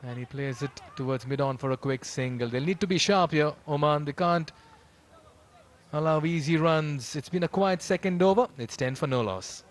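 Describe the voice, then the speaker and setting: A man's voice: on the high side, steady tone, normal speed. Male cricket commentator over ground noise. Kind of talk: live sports commentary with casual chat. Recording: clear background noise, live ground ambience.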